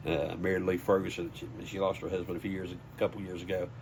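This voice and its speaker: A man's voice: low-pitched, flat delivery, fast, background noise. An older man with a Southern US accent, telling stories in a casual, folksy way as a sports announcer being interviewed. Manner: folksy, anecdotal. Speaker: high school sports PA announcer.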